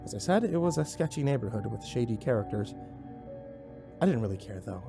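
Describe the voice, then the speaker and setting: A man's voice: mid-range voice, animated, a little fast, vocal fry, over music. A man giving an intense, theatrical first-person narration of a scripted horror story.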